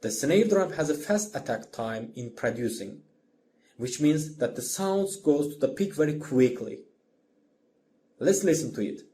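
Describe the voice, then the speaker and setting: A man's voice: on the high side, expressive, a little slow, warm tone, a little hiss or hum. A man narrating a scripted lesson as a music instructor, explaining step by step in simple phrases.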